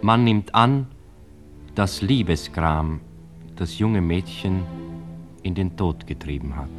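Radio play role: declamatory period news reader, animated delivery over faint music. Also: male voice, low-pitched. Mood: solemn. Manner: formal, measured, declamatory. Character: formal old-time Viennese newspaper announcer reading reports. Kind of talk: declaimed newspaper reading in radio drama.

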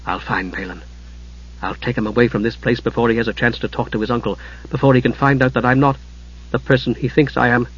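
A man voicing an anxious, lovestruck but determined young woman in an audiobook, speaking softly. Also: medium pitch, fairly even intonation, quick pace, breathy edge, dull audio, natural voice. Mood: resolved. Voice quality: soft.

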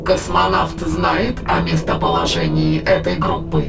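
A man voicing a calm, calculating alien intruder in a science fiction audio drama, formal, measured and probing. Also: fairly high pitch, vocal fry, heavily acted. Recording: loud music behind.

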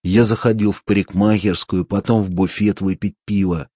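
A man voicing a wiry, calm man who speaks dryly with quiet mockery. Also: on the low side, breathy edge, no echo. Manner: calm, dry, unhurried.

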